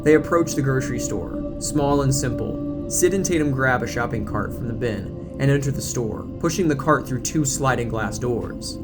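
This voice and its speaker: A man delivers scripted dramatic narration for an audio story. Music plays clearly behind his voice as he sets out each scene. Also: mid-range voice, normal speed, few pauses.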